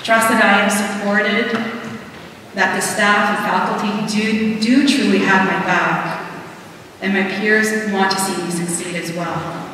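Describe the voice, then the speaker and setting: A young woman reading a prepared graduation speech from a podium in an auditorium, earnest and measured, pausing between words. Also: medium pitch, fairly even intonation, bright, forceful tone, slight background noise. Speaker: student graduation speaker. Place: large auditorium stage at a podium. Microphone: podium microphone heard from a distant camera. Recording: echoey hall from far off.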